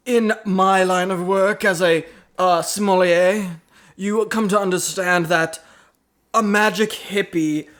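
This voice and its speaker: A pretentious, high-voiced male sommelier improvised by a man holds forth in a comedy sketch. He is pompous and halting as he delivers his wine wisdom. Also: a bit bright.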